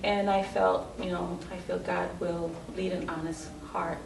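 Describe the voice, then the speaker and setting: Gravelly, low-pitched middle-aged woman, echoey room. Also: flat delivery, measured pace. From New York, United States. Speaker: interview guest, church member. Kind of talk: personal testimony, conversational. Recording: echoey, distant mic, clear background noise.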